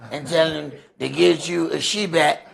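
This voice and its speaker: A man's voice: a little high, fairly even intonation, unhurried, gravelly. A man teaching scripture in an informal sermon, conversational and emphatic, repeating phrases for effect. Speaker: priest teaching a Bible study.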